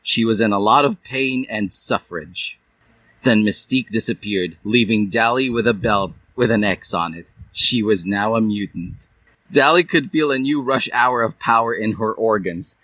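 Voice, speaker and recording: A man's voice: on the low side, normal speed, sharp tone, dry recording, rough recording. A man narrating fan fiction as a comic dramatic reading, with a theatrical, mock-serious delivery.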